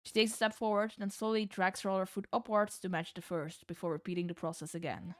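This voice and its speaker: A woman's voice: medium pitch, flat delivery, quick pace, dry recording. A woman streamer reading a visual novel aloud like a storybook, dropping in casual, playful, sweary asides. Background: music.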